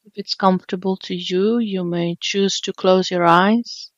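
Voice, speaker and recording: A woman leading an unscripted guided body scan meditation, speaking gently and soothingly with long pauses. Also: lowish voice, fairly even intonation, no echo, highs cut off. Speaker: meditation teacher.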